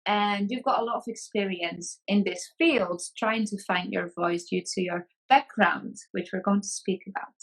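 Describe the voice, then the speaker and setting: A woman's voice: medium pitch, normal speed, few pauses, poor audio. Friendly woman hosting a podcast. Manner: friendly, laughs easily, encouraging. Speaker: podcast host. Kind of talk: podcast interview.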